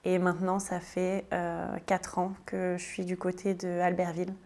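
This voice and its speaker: A woman's voice: fairly low pitch, completely flat delivery, moderate pace, darkish tone. Hesitant French woman in an interview. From France. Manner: hesitant, many fillers and restarts. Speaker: guest, tiny house builder. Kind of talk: casual interview answers.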